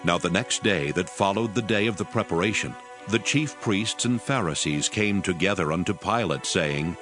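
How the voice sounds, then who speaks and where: Deep solemn male scripture narrator. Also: animated, over music. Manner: solemn, measured reading.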